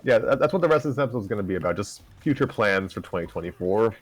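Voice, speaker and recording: A man's voice: fairly low pitch, animated, very fast, no echo. A man chatting casually as co-host of a livestreamed story-reading show, with a dry, joking manner. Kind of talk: casual group chat on a livestream.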